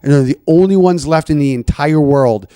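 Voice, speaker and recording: A man with a somewhat high voice hosts a loose comedy chat, riffing, swearing freely and restarting his sentences. The sound is dry and close. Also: moderate pace, dark tone, clean tone, dry recording. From Canada. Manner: riffing, profane, rambling with restarts. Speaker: podcast host.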